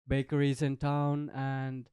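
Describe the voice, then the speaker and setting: Young man, host, monotone and mellow, very clean, dry sound. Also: medium pitch, takes it slowly, clean tone. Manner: rambling, upbeat. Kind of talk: casual interview hosting. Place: bakery.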